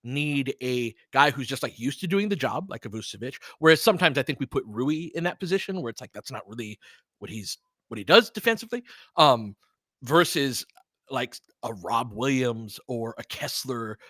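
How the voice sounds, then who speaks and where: Animated, bright-voiced man commenting on basketball. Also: medium pitch, normal speed, no room sound. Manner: informal, conversational, frequently seeking agreement. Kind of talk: casual fan commentary and analysis.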